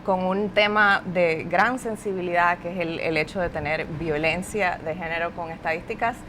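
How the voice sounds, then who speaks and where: A woman hosting a panel discussion, asking questions deliberately with frequent hesitations. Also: fairly low pitch, fairly even intonation, fairly bright tone, slight background noise. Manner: deliberate, hesitant with many fillers. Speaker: host.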